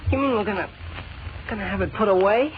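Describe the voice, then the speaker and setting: A man's voice: high-pitched, fast talker, close to an everyday voice. A man playing an eager, earnest teenage boy with gee-whiz enthusiasm in a 1940s radio serial. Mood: pleading, eager. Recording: muffled, hissy old radio recording.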